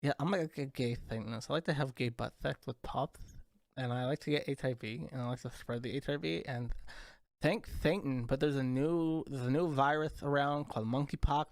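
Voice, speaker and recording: A man's voice: mid-range voice, gravelly, no echo. A young man hosting a casual livestream, rambling, crude and sarcastic as he talks to chat. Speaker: livestream host.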